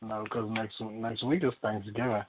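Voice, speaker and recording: A man's voice: lowish voice, flat delivery, moderate pace. A man in his late twenties co-hosting a radio show in casual, slangy, informal chat. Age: late 20s. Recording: phone-like sound with background chatter.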